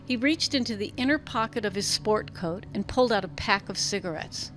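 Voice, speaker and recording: A woman's voice: mid-range voice, brisk, soft background music, rough recording. A woman reading a literary story aloud as an audiobook narrator, in scripted narration.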